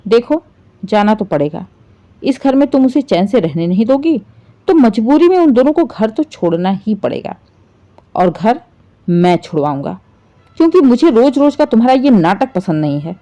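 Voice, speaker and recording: A woman's voice: fairly high pitch, sing-song, normal speed, mellow, muffled recording. A woman voicing a stern older father-in-law, a firm and reproachful patriarch in a family drama story. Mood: firm, resigned.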